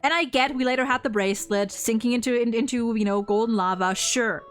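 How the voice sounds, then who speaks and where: A young woman co-hosting a casual discussion, talking in an animated, opinionated way with frequent swearing. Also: on the high side, brisk, soft background music. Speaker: video host reviewing a game.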